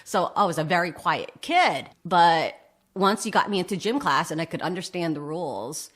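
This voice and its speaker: A middle-aged woman with a low voice talks as a guest in a casual interview. She tells her own story candidly, with self-deprecating humour. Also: expressive, normal speed, a bit bright. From United States, Vietnamese immigrant family, arrived aged three. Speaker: interview guest, drag king and public speaker. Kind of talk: animated personal storytelling in casual chat.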